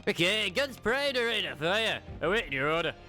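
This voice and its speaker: A man's voice: high-pitched, sing-song, fairly quick, bright, forceful tone, soft background music, pitched above the performer's own voice, heavily acted. A man voicing an anxious, deferential crew member in an anime game fandub. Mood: dutiful, tense.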